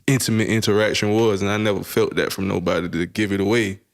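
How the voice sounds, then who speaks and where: A man's voice: fairly low pitch, steady tone, fast talker. A young man talking casually on a podcast, telling loose, profane stories with lots of filler phrases. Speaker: podcast co-host. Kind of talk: casual chat.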